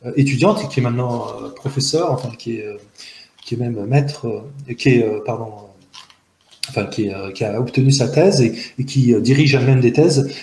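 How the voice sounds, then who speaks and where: A man's voice: medium pitch, moderate pace, darkish tone, a little hiss or hum. Hesitant, earnest man with echoey sound. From Algeria. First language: Arabic. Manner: hesitant, many fillers and restarts, earnest and analytical. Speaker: interview guest. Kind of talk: reflective testimony in an interview. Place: outdoors in a park-like garden by buildings.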